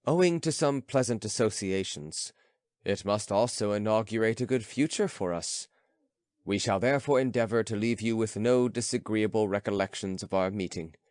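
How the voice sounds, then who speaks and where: A man's voice: fairly low pitch, darkish tone, no echo, clean studio sound, natural voice. A man voicing a young male student who is polite, solemn and earnest, in an audiobook story. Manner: formal, earnest.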